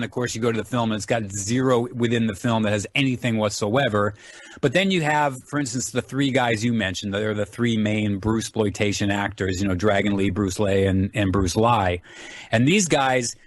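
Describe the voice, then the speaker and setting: A man speaking as an interview guest, telling stories casually with informal enthusiasm. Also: lowish voice. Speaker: guest, film writer and enthusiast.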